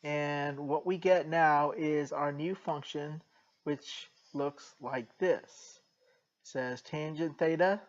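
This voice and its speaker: Man, soft-spoken math teacher, slow and deliberate, dry jokes, dull lo-fi sound. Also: fairly high pitch. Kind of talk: jokey recorded math lesson.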